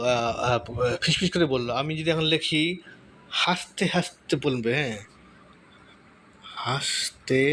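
A man hosting a casual screen tutorial, thinking aloud and repeating words slowly as he types. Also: a little high, fairly bright tone. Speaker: tutorial host. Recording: clear background noise.

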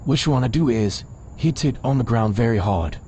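A stern father guarding secrets in a magical land, played by a man. The voice is relaxed and mid-pitched, firm and evasive in its instructions. A little noise sits behind this amateur short-film dialogue. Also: brisk, lightly acted. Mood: firm, protective. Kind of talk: hobby fantasy short-film acting.

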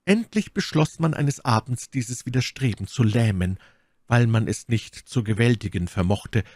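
A man's voice: medium pitch, normal speed, darkish tone, no echo, studio quality, voice pushed up, natural voice. A man reading aloud, voicing a proud, manipulative noblewoman held prisoner, in a dramatic pleading tone. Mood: melancholy, solemn. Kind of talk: audiobook character reading.

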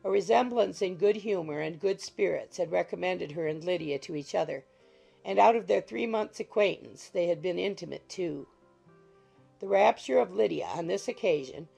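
A woman narrating a classic novel as scripted audiobook narration, measured and even. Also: on the low side, vocal fry, breathy edge.

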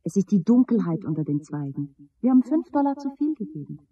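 A woman's voice: mid-range voice, big pitch swings, fast, mellow, husky, dry recording. An actress playing a dreamy, tender woman recalling a strange past in a radio play. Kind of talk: 1970s German radio play acting. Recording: dull, lo-fi old radio recording.

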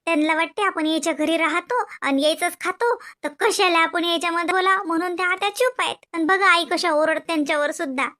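A woman's voice: extremely high pitch, brisk, few pauses, sharp tone. A woman voicing a young daughter-in-law who complains and pleads her case to the listener. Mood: complaining.

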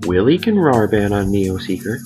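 A man's voice: on the low side, sing-song, moderate pace, over music. A man playing an earnest, bookish intellectual in a hobby radio drama. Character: male squad member, bookish, earnest intellectual.

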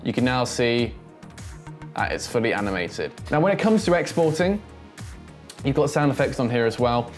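A man in his twenties giving a casual, chatty software tutorial, thinking aloud as he demonstrates. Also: mid-range voice, sing-song, moderate pace, long pauses, faint music behind. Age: 20s. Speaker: host, tutorial presenter.

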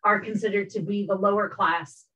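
A woman's voice: medium pitch, fairly even intonation, normal speed, bright, forceful tone. A woman with a Spanish-speaking accent sharing thoughts in a video-call discussion, reflective and hesitant, with many "you know"s. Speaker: workshop participant. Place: home office. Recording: muffled, distant webcam audio.

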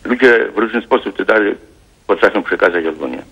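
A man calls in to a TV talk show, speaking formally and hesitantly, with reflective pauses. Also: fairly low pitch, animated, fairly bright tone, breathy edge. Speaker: caller. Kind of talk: phone-in call to a TV talk show. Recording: dull phone line.

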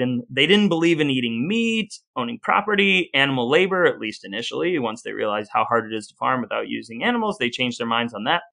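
A man's voice: medium pitch, vocal fry, pushed and bright. A man co-hosting a podcast in a casual, conversational, wry way, sometimes reading aloud. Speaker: podcast co-host.

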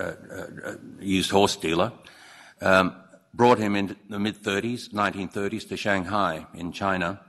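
A man gives an earnest, measured ceremonial speech. His voice sits very low. It rings with the long reverb of a large courtroom, caught on a podium microphone. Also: a bit bright. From Hong Kong. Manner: measured and earnest, with occasional slips and restarts. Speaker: newly appointed judge giving a speech.

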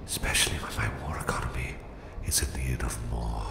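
A sinister Russian mastermind for an ASMR roleplay. His voice is extremely low and thick with gravelly creak, gloating coldly over a captive in deliberate whispers. It rings in a roomy echo. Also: fairly even intonation, heavily acted. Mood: coaxing menace. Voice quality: very deep, gravelly, breathy whisper. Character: menacing Russian psychic villain, a cold, gloating captor. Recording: roomy, echoing voice.

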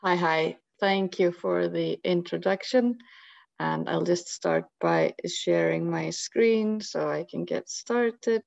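Gravelly low-voiced Icelandic woman. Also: slow pace, a little mellow, no echo. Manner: thoughtful, many hesitations. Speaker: guest speaker. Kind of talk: presenting a webinar talk. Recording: dry lo-fi video call audio.

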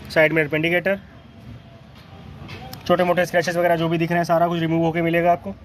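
A North Indian man with a slightly high voice gives a used car walkaround. He lists features in short, matter-of-fact phrases. He is recorded outdoors in a car lot, with faint street noise behind him. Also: completely flat delivery, fast talker. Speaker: used car dealer presenting cars. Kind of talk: spoken walkaround sales presentation. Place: outdoor car lot, beside and inside cars. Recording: noisy outdoor street ambience.

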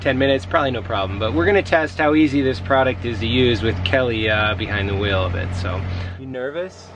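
A man's voice: mid-range voice, brisk. A man casually explaining and coaching as a vlog host, talking to a handheld camera. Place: outdoors on a sailboat deck. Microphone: handheld camera at arm's length. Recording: clear outdoor boat background noise.

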